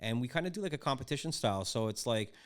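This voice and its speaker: A man's voice: medium pitch, lively intonation, fast talker, clean tone. A middle-aged man from Canada hosting a podcast in a casual, chatty way, with frequent fillers and restarts. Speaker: podcast host. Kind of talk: casual chat.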